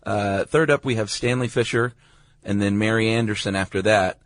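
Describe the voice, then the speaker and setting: A man's voice: fairly low pitch, fairly even intonation, moderate pace, no room sound. An American man hosting a podcast, explaining things in a casual, laid-back and hesitant way. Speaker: podcast host. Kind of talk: casual chat.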